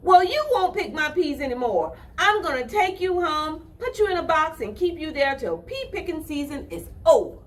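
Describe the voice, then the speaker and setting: A woman's voice: high voice, very animated, moderate pace, hardly any pauses, pitched above the performer's own voice. A woman voicing a determined little girl in a storybook, firm, emphatic and scolding.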